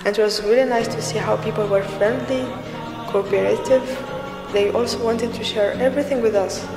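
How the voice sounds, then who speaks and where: A woman's voice: fairly high pitch, steady tone, fairly quick, over music. Algerian woman in an echoey room. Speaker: volunteer. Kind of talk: interview answers. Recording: roomy echo.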